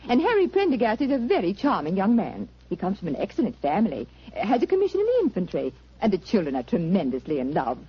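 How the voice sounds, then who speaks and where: A woman's voice: medium pitch, huge pitch swings, fast, hardly any pauses, slightly rough, full character voice. An actress playing a kindly, well-bred doctor's wife in a 1940s radio drama, gracious, effusive and motherly. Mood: bright, confiding. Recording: dull 1940s radio recording.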